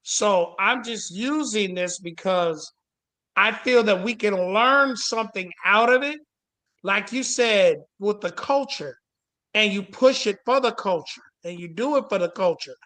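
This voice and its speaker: A slow-talking host with a bright, forceful edge leads a casual panel discussion. The delivery is emphatic and peppered with swearing and slang. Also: mid-range voice. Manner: profane, emphatic street-casual talk. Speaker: host moderating a panel call.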